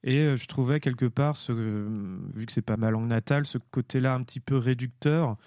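A man, a musician guest, answering interview questions in a thoughtful, hesitant way with frequent pauses and fillers. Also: mid-range voice, a little mellow, no room sound, clean studio sound.

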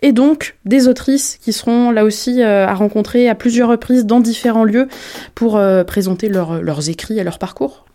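A woman hosts a radio program in a mellow, warm-toned voice at an ordinary pitch. She asks her guests questions and runs briskly through lists of dates and venues. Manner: brisk, listing dates and places. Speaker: radio host. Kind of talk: radio interview and event announcements.

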